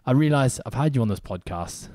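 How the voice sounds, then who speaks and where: A man's voice: medium pitch, lively intonation, brisk, warm tone, dry recording, clean studio sound. A man hosting a podcast in casual interview style, chatty and upbeat with joking asides. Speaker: podcast host. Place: podcast studio in Hong Kong.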